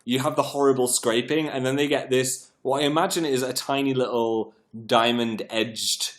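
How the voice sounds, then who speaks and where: British guy doing a chatty livestream, bit of a froggy croaky voice, rambly and jokey, medium pitch. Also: steady tone, normal speed. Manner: rambling, self-mocking, jokey. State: froggy, croaky voice recovering from voice loss. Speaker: live stream host. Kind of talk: casual chatty livestream, reading out chat.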